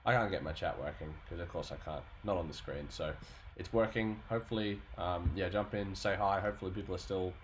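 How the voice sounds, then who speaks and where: A man's voice: sits low, brisk. A man in his thirties chatting casually and thinking aloud as he streams his work as a sound designer. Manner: rambling, informal, thinking aloud. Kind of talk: casual livestream chat while working. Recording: noisy home stream mic, background noise.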